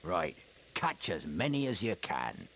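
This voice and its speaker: An actor playing a big, gentle gamekeeper who teaches about creatures in a video game, folksy and encouraging. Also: male voice, sits low, big pitch swings, measured pace, noisy background, heavily acted. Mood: fond, encouraging. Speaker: teacher of a creature-care class. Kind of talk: video game character acting.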